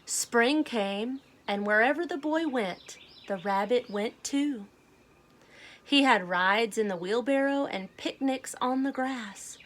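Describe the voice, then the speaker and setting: A woman reading a storybook aloud to children, speaking in a deliberate, simple way. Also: fairly high pitch, a bit bright. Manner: deliberate, simple phrasing addressed to young children. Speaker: children's read-aloud host.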